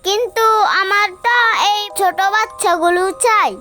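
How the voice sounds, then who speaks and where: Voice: very high voice, normal speed, bright, forceful tone, a little hiss or hum. A performer voicing an eager, headstrong young boy in a kids' cartoon, childlike and insistent. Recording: music behind the voice.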